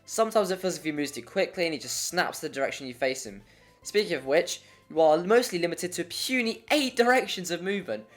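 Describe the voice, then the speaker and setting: Video essay narrator, mid pitch, informal and jokey, casual asides, background music. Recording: music under the voice.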